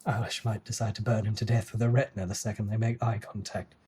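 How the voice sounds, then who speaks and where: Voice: medium pitch, level tone, fast talker, warm tone, breathy edge. A narrator giving a dramatic, scripted reading of a novel.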